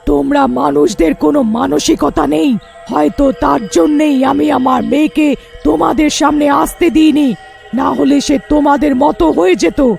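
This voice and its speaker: A woman's voice: high-pitched, steady tone, normal speed, gravelly, a little mellow, faint music behind. A woman voicing a fierce, bitter but protective witch mother in a cartoon horror story, with dramatic delivery. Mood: angry.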